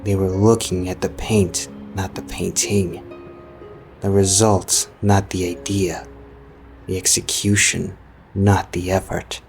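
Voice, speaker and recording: A man's voice: low-pitched, little pitch movement, slow pace, vocal fry, darkish tone. A man narrating a scripted story as a storyteller. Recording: music behind the voice.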